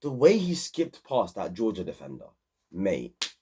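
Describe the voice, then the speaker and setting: A young man hosting an excited, slangy football match review, a bit hoarse from screaming. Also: low voice, a little slow, slight background noise. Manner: animated, repetitive, slangy. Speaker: football channel host.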